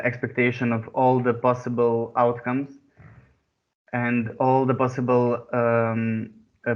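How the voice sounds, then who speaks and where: A man in his twenties who grew up in Serbia, giving an artist talk over a video call, pausing often with fillers and restarts. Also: medium pitch. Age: 20s. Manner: hesitant, many pauses and fillers. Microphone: computer mic on a video call. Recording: muffled video call audio.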